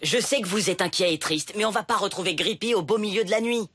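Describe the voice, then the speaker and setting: A woman's voice: medium pitch, quick pace, noisy background, lightly acted. A woman voicing a patient, reassuring mother in a dubbed cartoon, speaking in a soothing, coaxing way. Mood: soothing, firm. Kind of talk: animated cartoon dubbing.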